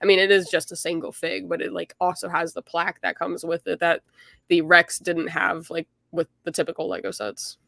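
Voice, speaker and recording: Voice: medium pitch, flat delivery, normal speed, vocal fry, bright, forceful tone, no room sound. Casual podcaster, midrange voice, constant "like" fillers, dry close mic. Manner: lots of "like", hedging. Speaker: podcast co-host.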